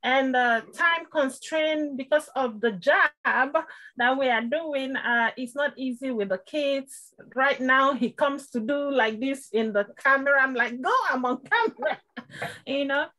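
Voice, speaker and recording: A woman with a high voice speaks over a video call. She is a student in an IT program and gives a devout, grateful testimony, warm in faith and quick to laugh softly. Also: poor audio. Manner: heartfelt and devout, with short laughs. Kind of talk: thankful testimony on a webinar.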